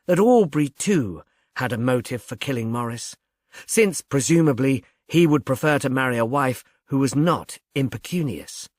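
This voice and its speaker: A man's voice: medium pitch, expressive, normal speed, no echo, studio quality, lightly acted. Man voicing a sardonic elderly society mother. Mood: wry, poised. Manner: tartly amused, condescending.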